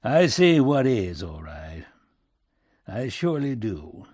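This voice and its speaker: A man's voice: mid-range voice, expressive, a little slow, a little mellow, no room sound, voice pushed up. Audiobook role: weary, sardonic American president, folksy back-country twang, emphatic, very dry close sound male narrator acting it out. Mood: defiant, ironic.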